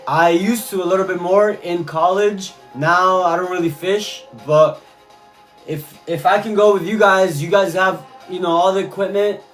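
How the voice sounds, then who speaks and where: A man's voice: high-pitched, steady tone, moderate pace, fairly bright tone, soft background music. A man from Cuba talking casually on a live stream, reading chat messages and answering viewers, often repeating his phrases. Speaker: livestreamer.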